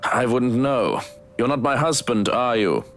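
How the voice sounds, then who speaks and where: An actor playing an exasperated German butler in a visual novel, with a German accent and a dry, scolding manner. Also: male voice, mid-range voice, animated, a little slow, a bit bright, faint music behind. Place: studio game voice track with music behind.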